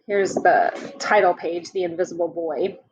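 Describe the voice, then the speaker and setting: A woman teacher reading a picture book aloud to children, gently pausing to ask them questions about the pictures. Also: fairly low pitch, husky. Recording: dull lo-fi recording.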